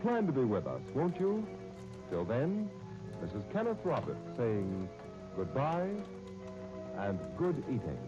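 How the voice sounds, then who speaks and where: An American man announcing on 1930s radio, narrating and pitching the sponsor in a polished, folksy, persuasive way. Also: wildly swooping pitch, unhurried, long pauses, loud music behind the voice. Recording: muffled 1930s radio recording.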